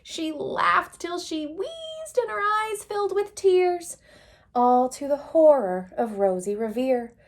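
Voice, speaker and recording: A woman's voice: high-pitched, sing-song, takes it slowly. A woman reading a children's picture book aloud as a storyteller, expressively and with dramatic emphasis.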